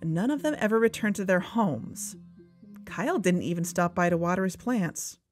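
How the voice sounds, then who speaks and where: A woman's voice: on the low side, lively intonation, normal speed, close to an everyday voice. A woman playing a wry, earnest investigator who co-hosts a podcast, narrating in a conversational, confiding way. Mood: serious, frustrated. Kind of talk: conversational scripted audio drama narration. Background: background music.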